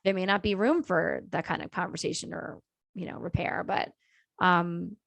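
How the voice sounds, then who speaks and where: A woman co-hosts a casual podcast, speaking in a reflective, measured way as she thinks things through. Her voice is somewhat low and is recorded close and dry. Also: fairly even intonation, vocal fry, a bit bright, dry recording. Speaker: podcast co-host. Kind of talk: casual chat.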